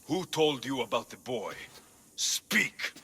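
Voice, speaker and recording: A man playing a wry, commanding mercenary in a video game cutscene, gruff in manner. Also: medium pitch, very animated, slow pace, pushed and bright, a little hiss or hum, full character voice. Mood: menacing.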